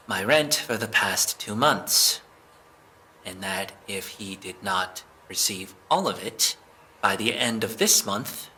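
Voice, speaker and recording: A man's voice: on the low side, sharp tone, slight background noise, exaggerated voice, rough recording. A man playing a flustered, defensive young suspect in an audio drama police interrogation, hesitant and rambling as he explains himself. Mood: hesitant, explaining.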